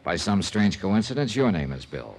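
A man's voice: low-pitched, very animated, moderate pace, heavily acted. An actor playing a hard-boiled insurance investigator who narrates his own case in a 1950s radio drama, dry and wry. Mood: probing. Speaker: lead and narrator. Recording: old radio broadcast with music beneath.

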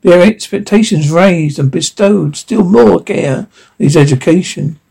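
A man reads a fairy tale aloud with a husky, creaky voice. His tone is soft and dark, set a little higher than most men's. The delivery is halting, with stumbles over words. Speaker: story reader.

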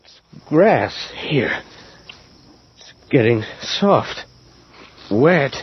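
A man acting in a radio horror drama, playing a frightened, guilt-ridden man lost in a graveyard, with a husky voice. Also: mid-range voice, very animated, very slow, exaggerated voice. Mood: frightened, bewildered. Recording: muffled old radio drama recording.

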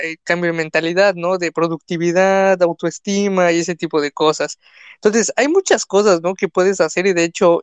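A man's voice: high voice, smooth, no echo. A young man from Mexico with a Mexican accent, a content creator chatting casually on a live stream, full of fillers and restarts. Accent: Mexican Spanish. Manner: rambling, many fillers and restarts.

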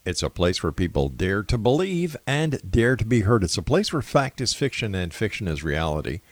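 Low-voiced male radio host, dry sound. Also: very animated, moderate pace, dry recording. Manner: announcer-style, rattles off station lists. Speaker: radio talk show host. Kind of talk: radio show introduction and interview.

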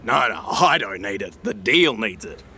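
An actor playing a slippery, matey Australian financial dealer in an audio drama, full of slangy, glib sales patter. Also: male voice, lowish voice, sing-song, moderate pace, bright, forceful tone, husky, full character voice. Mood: glib, amused.

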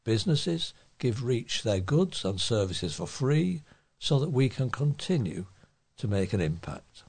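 Man, slightly low pitch, husky tone, reading local news articles aloud, volunteer reader. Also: a little mellow.

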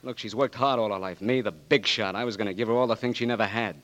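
A man's voice: fairly low pitch, fast, lightly acted. An actor playing a young marine who has just lost his sight, pleading with his doctor and then bitterly refusing help, in a 1940s radio drama. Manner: pleading, breaks into anguished shouting. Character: young man, newly blinded marine, desperate and bitter. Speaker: wounded marine patient. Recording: dry old radio broadcast.

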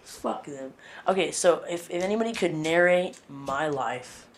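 A young woman with a deeper voice than expected, a podcast guest in casual, profane, joking chat who laughs often. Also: a little slow, slight background noise.

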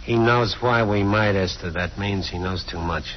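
A man's voice: sits low, moderate pace. An actor playing a wary, threatening male crook with terse speech, in a 1940s radio crime drama. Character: low-voiced, wary crook man, guarded and threatening. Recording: muffled old-time radio recording, crowd sounds.

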